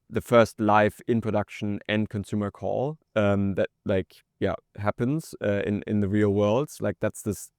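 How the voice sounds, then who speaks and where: A man with a fairly low, smooth voice gives conversational interview answers, captured close and dry. His delivery stays fairly even, and he circles through his phrasing with small hedges as he explains. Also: no room sound. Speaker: startup co-founder, podcast guest.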